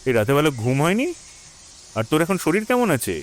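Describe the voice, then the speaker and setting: A man's voice: fairly high pitch, very animated, fairly quick. A man playing a concerned young friend in an audio drama, with a familiar, casual manner. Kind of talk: Bengali audio drama acting.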